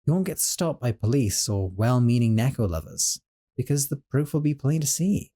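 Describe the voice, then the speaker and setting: A man playing a gentle, doting young sorcerer roommate in a scripted audio roleplay, talking softly and a little flustered. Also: mid-range voice, expressive, moderate pace, natural voice.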